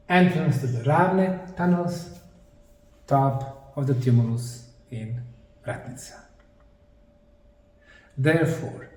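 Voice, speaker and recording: A man with a Bosnian accent giving an explanatory presentation, speaking emphatically in short, dramatic phrases with pauses. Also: on the high side, dark tone. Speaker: presenter, researcher. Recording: echoey room, distant microphone.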